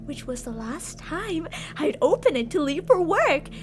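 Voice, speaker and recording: A woman's voice: sits high, sing-song, a little slow, slight background noise. A woman playing an obsessive yandere captor in an ASMR roleplay, sugary with endearments yet menacing and theatrical. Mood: coaxing, threatening.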